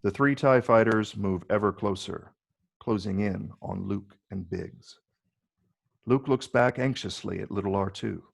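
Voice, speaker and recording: A man with a low, gravelly voice reading screenplay stage directions as the narrator. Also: a little slow.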